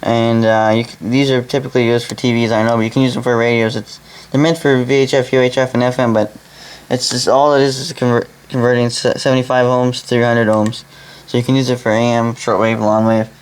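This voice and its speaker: A young adult man with a Canadian accent giving a casual, unscripted hobby demonstration in a halting, rambling way, often repeating words. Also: mid-range voice, flat delivery, poor audio. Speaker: vintage radio hobbyist and host. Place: indoor workbench in a room. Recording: home video with faint background noise.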